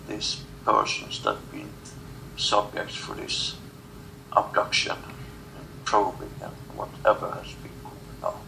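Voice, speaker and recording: Male channeler, very slow and halting, fry-laden delivery, spiritual message. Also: lowish voice, pushed and bright, slight background noise, low-quality recording. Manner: halting, fragmented phrases with long pauses.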